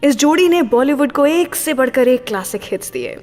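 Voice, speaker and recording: A woman's voice: high voice, lively intonation, normal speed, a little mellow. A woman hosting a radio show in an upbeat, scripted but chatty style, posing questions and answering them herself. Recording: long hall reverb. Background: faint music.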